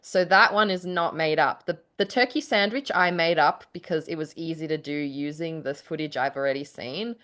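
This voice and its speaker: A woman in a casual chat between writers, telling stories in an effusive, animated way with the odd casual swear word. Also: sits low, moderate pace, few pauses.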